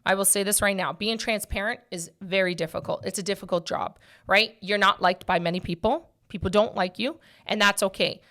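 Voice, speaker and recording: Bright-voiced, blunt woman in her forties. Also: medium pitch, steady tone, brisk. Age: early 40s. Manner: blunt, emphatic, repeats phrases for stress. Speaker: podcast host and mortgage brokerage owner. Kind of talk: casual direct-to-listener talk.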